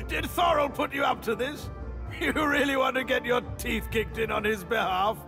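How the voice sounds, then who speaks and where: A man's voice: very high voice, full character voice. An actor playing a surly, hungover drunk man who has been squatting in an inn room, grumbling in a belligerent slur. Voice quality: gravelly. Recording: game soundtrack under the voice. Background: music.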